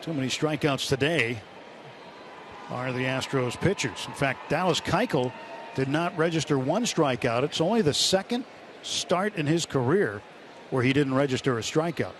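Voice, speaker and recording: A man's voice: medium pitch, moderate pace. A man doing live play-by-play baseball commentary on the radio. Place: broadcast booth at a ballpark. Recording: noisy stadium radio broadcast. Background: steady ballpark noise.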